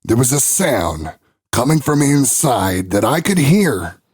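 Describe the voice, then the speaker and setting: An actor playing a brash male talk show host, narrating his own investigation in an audio drama with a folksy, swaggering manner and dramatic pauses. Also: medium pitch, sing-song, a little mellow, slightly rough, no room sound, studio quality, heavily acted. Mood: unsettled, dramatic. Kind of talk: scripted first-person narration in an audio drama.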